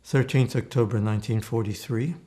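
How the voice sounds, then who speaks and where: A man in his eighties, born in Slovakia with Slovak as his first language, giving oral history testimony in a measured, thoughtful way with frequent restarts. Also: medium pitch, steady tone, mellow. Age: 80s. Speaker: interviewee.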